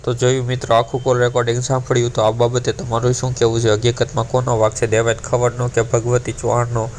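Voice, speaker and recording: A man presenting to viewers as a channel host. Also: mid-range voice. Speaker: channel host introducing a viral call recording. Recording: noticeable background noise.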